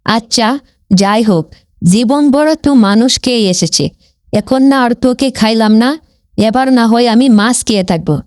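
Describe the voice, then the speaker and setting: A man's voice: very high-pitched, a little slow, pitched above the performer's own voice. A man voicing a fearsome man-eating female witch in a cartoon fairy tale, boastful and threatening, with an echoing effect on the voice. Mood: moved, softened. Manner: boastful, threatening, repetitive questioning. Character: fearsome man-eating female witch of the jungle, menacing but soft-hearted. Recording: echoey with long hall reverb.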